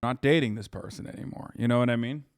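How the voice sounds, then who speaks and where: A man's voice: mid-range voice, fast, no echo, clean studio sound. A man in his thirties streaming live, chatting casually and bluntly with his chat, swearing often. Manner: profane, blunt, joking with chat. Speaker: streamer reacting to videos.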